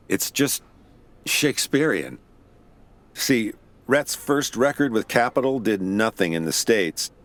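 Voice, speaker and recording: A man's voice: lowish voice, fairly bright tone. An actor playing a weary, wry investigator who narrates his own case in an audio drama. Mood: reminiscing. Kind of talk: first-person noir-style audio drama narration.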